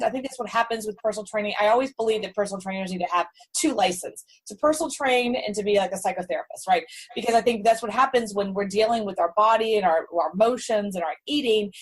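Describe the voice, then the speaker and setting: A woman's voice: mid-range voice, breathy edge. A woman hosting a podcast interview, bubbly and enthusiastic, chatting casually and laughing often. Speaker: podcast host.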